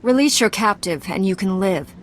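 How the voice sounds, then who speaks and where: An actress playing a confident, composed young warrior knight in a video game, with a dry, self-assured manner. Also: female voice, mid-range voice, lively intonation, normal speed, slight background noise, lightly acted. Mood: firm. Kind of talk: dramatic video game acting.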